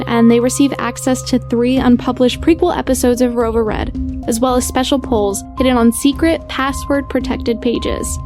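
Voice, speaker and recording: A woman reading a scripted introduction as a podcast announcer. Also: on the high side. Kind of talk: scripted narration.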